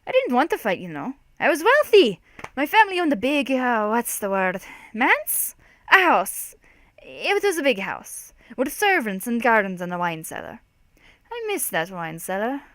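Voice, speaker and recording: A woman's voice: mid-range voice, normal speed, hardly any pauses, no room sound, lightly acted. A woman voicing a rambling old drunken war veteran telling stories in an emphatic, meandering way. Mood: wistful, emphatic. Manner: odd cadence, emphatic, meandering storytelling.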